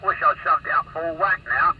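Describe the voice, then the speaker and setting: A man on a CB radio, chatting casually with a halting, filler-heavy delivery. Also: a little high, steady tone, lo-fi sound. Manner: chatty, hesitant, many fillers. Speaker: CB radio operator. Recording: distant CB radio audio with heavy static.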